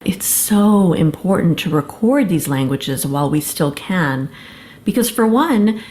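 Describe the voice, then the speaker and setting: A woman's voice: lowish voice, lively intonation, a little slow, darkish tone. A woman from the United States, an anthropologist and vocalist, speaking earnestly in a short documentary clip. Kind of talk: documentary testimony.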